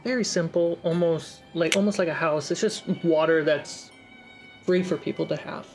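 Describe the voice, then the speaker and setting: Game master: mid-pitched voice, descriptive narration in short halting phrases, faint score underneath. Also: soft background music. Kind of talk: improvised tabletop game narration.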